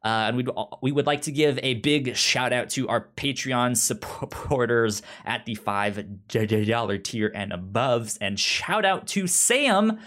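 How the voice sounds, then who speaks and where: A man's voice: mid-range voice, a little slow, few pauses, fairly bright tone. A man co-hosting a podcast in casual chat, often stammering and repeating his words. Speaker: podcast co-host.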